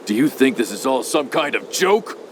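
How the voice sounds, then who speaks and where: A man's voice: mid-range voice, expressive, normal speed, noisy background, close to an everyday voice. An actor playing a cocky, short-tempered male ninja agent in a dubbed video game, bossy and emphatic. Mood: exasperated, furious. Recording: clear background sound effects and ambience.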